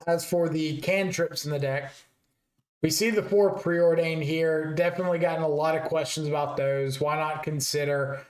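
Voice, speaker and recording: A man with a fairly high voice that is a little raspy today. He explains card game strategy casually and thinks aloud, with a few "uh"s along the way. The room has a noticeable echo. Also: normal speed. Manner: relaxed, thinking aloud with fillers. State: slightly raspy voice. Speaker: card game content creator.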